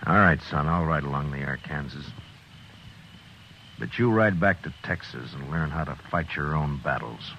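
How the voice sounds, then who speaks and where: An actor playing a stern, terse frontier US marshal who narrates and talks gruffly, in 1950s radio drama. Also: male voice, a bass voice, moderate pace, muffled recording, slight background noise. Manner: curt, gruff, commanding. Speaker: narrator and lead.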